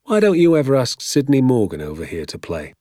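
Storybook father read by a man: gentle persuader, encouraging, animated, dry close recording. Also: mid-range voice, brisk, no room sound, pitched above the performer's own voice. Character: kindly father coaxing his young son.

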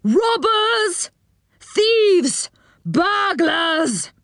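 A woman's voice: high voice, animated, slow, gravelly, dry recording, studio quality, voice pushed up, exaggerated voice. A woman voicing an imperious, suspicious male enchanter in a storybook, with a commanding manner. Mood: angry commanding. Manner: loud, commanding.

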